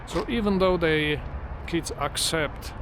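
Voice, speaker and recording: Middle-aged man, English learned as an adult; slow, thoughtful vlog delivery, slightly raised pitch, recorded outside with clear street traffic. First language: not English. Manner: unhurried, reflective, with many restarts and fillers. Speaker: vlogger. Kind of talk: casual walking vlog monologue. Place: outdoors near trees and traffic. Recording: outdoor traffic noise. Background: street traffic noise.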